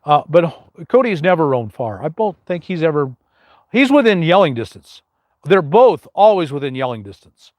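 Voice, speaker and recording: A man's voice: fairly high pitch, big pitch swings, moderate pace, no echo. An older man with a big, carrying voice hosts a casual live question-and-answer stream, rambling with many fillers and restarts.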